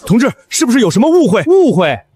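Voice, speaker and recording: A shrill, pushy, fast-talking complainer. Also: male voice, very animated, a little mellow, breathy edge, no echo, heavily acted. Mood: indignant, accusing. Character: suspicious, accusing male complainer, pushy and indignant. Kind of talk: scripted drama dialogue.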